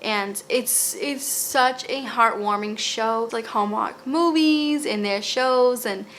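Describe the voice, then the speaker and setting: Bright-voiced Boston woman chatting casually. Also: a little high, slow pace, slight background noise. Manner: chatty, rambling with fillers and restarts. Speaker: lifestyle vlogger. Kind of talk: casual show recommendations.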